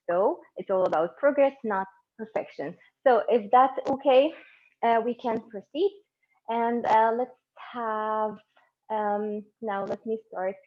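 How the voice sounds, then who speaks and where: Woman teaching a relaxed online class. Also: mid-range voice. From Egypt. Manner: relaxed and encouraging, with many fillers and restarts. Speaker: English conversation teacher. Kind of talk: live online conversation class. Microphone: laptop or phone mic on a video call. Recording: slightly dull online stream audio.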